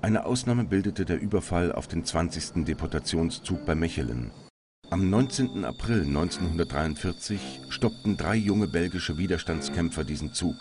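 A man narrates in documentary style from a script. His voice is very deep, and music plays clearly underneath it. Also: animated, moderate pace.

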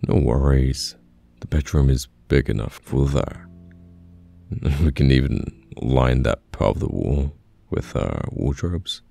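A man playing a caring, patient boyfriend in an ASMR roleplay, speaking gently and haltingly with reassurance, close to the mic. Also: rumbling low, creaky, exaggerated voice. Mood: calm, reassuring. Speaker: voice actor in an audio roleplay. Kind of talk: soft-spoken ASMR boyfriend roleplay, pillow talk. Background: soft piano or guitar music.

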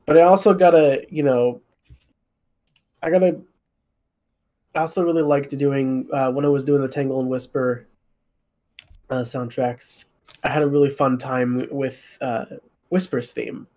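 A man with an average-pitched voice talks casually on a panel. He answers as a music composer in easy conversational style. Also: fairly even intonation, measured pace, pauses often, mellow.

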